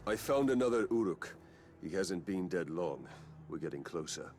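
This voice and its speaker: A man's voice: sits low, lively intonation, moderate pace, a little mellow. A man playing a grim, determined ranger warrior in a video game, speaking in a terse, grave, purposeful way. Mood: grim, resolute. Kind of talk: solemn video game cutscene acting.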